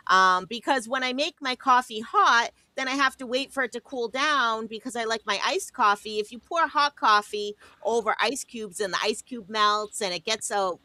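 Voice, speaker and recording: Bright-voiced chatty woman with a New York sound. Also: on the high side, dry recording. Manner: chatty and emphatic, lots of "um" and "like". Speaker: co-host of a ham radio livestream. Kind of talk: casual chat.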